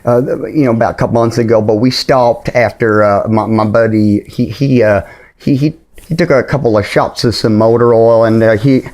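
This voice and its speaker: A sheepish, muddled middle-aged drinker played by a man on a prank phone call. He stumbles and repeats himself in a mid-pitched voice, politely apologizing as he rambles along. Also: fairly even intonation, moderate pace, a little mellow, natural voice. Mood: rambling, sheepish. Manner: stammering, hesitant, repeats words, overly polite. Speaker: prank caller.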